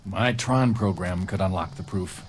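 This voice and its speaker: A man's voice: deep voice, measured pace, slightly rough, poor audio. A man playing an earnest, determined programmer hero on a read-along record, with emphatic delivery.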